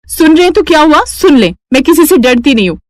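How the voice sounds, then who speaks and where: A haughty young bride from a rich house, acted by a woman storyteller. Her high voice snaps with scorn and rudeness, as in a cartoon family drama. The sound is close and dry. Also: big pitch swings, fast talker, no echo. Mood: scornful, irritated. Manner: snapping, scornful.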